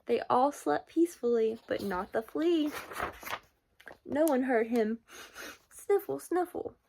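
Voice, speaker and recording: A woman reading a children's picture book aloud in a deliberate, expressive way, with sing-song emphasis. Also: high-pitched, creaky, slight background noise. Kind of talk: children's storybook read-aloud.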